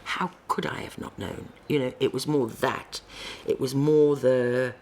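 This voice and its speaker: Gravelly older woman, wistful. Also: rumbling low, steady tone, a little slow, a little mellow, a little hiss or hum. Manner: wistful, searching for words. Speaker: radio guest.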